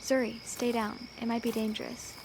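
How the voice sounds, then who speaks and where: An actress playing a prim, cautious, rule-bound companion, precise and scolding, in an audio drama. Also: female voice, fairly high pitch, level tone, normal speed, noisy background, lightly acted. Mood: anxious, warning.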